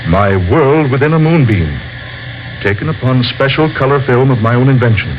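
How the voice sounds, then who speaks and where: An actor playing a solemn male inventor who explains his discovery in a slow, lecturing way, in a 1940s radio drama. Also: medium pitch, lightly acted. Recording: lo-fi old radio recording with faint hiss.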